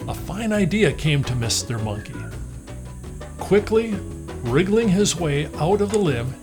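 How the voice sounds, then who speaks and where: Animated male storyteller with lively pitch. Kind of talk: expressive children's storybook reading.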